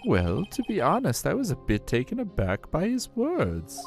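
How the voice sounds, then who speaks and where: Corrupt older police chief for a game , voiced by a man: folksy, smug, menacing, hugely animated, with fry in the voice. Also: on the high side, moderate pace, voice pushed up, heavily acted. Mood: recounting. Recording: music behind the voice.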